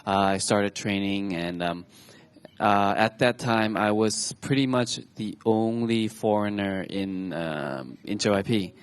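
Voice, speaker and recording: Chatty young man, slightly low voice. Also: fairly even intonation, clean tone. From Thailand. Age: 20s. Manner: chatty and halting, with frequent restarts. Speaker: talk show speaker. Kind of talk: personal storytelling to an audience. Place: stage show.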